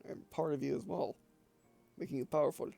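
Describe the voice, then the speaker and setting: Low, gravelly woman commenting on a game. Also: fairly quick, mellow, soft background music. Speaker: gameplay video host. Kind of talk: casual let's-play commentary.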